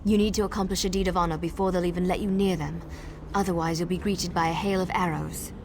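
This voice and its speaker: Practical, secretive elf woman for game quest dialogue , acted by a woman: lowish pitch, measured delivery, noisy background. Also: fairly even intonation, close to an everyday voice. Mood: guarded, instructing. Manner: measured, conspiratorial instruction. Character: pragmatic female wood elf guide, secretive and resolute. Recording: game dialogue over clear background noise.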